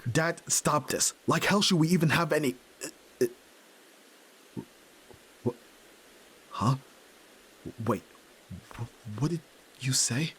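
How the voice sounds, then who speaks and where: A man's voice: on the high side, expressive, slow pace, long pauses, fairly bright tone, slight background noise. A man playing a flustered young nerd, reluctant son of a crime boss, in an audio drama, stammering and emotional. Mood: flustered disbelief. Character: flustered young tsundere nerd, desperate Yakuza heir son. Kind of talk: ASMR roleplay audio drama acting.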